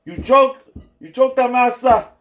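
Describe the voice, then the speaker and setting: A man voicing a playful jokester with a mock Brazilian accent, loud and goofing. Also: very high voice, fairly even intonation, unhurried, background noise, voice pushed up. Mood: goofy.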